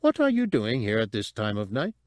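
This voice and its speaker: A man's voice: on the low side, wildly swooping pitch, fairly quick, no room sound. A man voicing a fussy, polite protocol droid with a melodious voice, prim and chatty.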